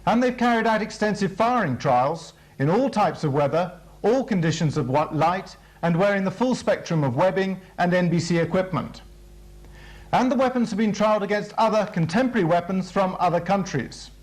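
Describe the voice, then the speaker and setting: A British man with a notably high voice delivers a formal military briefing. He explains things in a measured way, as a training film presenter working from a script. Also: darkish tone.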